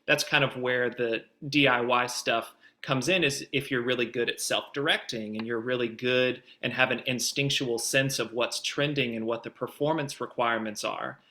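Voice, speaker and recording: A man's voice: medium pitch, fairly even intonation, normal speed, hardly any pauses, bright, forceful tone. A man giving conversational expert advice as a guest producer, in an explanatory, emphatic way.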